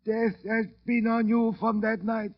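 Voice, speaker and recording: A man's voice: high-pitched, monotone, a little slow. An actor playing a menacing, implacable old male voodoo priest in a radio drama, speaking in an ominous, incantatory way with clipped grammar. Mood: ominous, unforgiving. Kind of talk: 1940s-50s radio drama acting. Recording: dull old radio recording.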